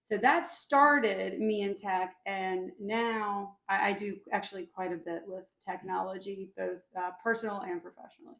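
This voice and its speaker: A woman's voice: medium pitch, fairly even intonation, normal speed, gravelly, few pauses, a bit bright. A woman in her thirties joining her husband's livestream, chatting playfully and teasing. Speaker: co-host, host's wife. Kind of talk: casual chat. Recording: roomy off-mic sound.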